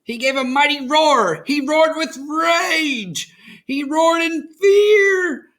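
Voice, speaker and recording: An American man reading a storybook aloud to children as host, in an expressive, dramatic storytelling manner. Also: very high-pitched, slow. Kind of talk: animated storybook narration.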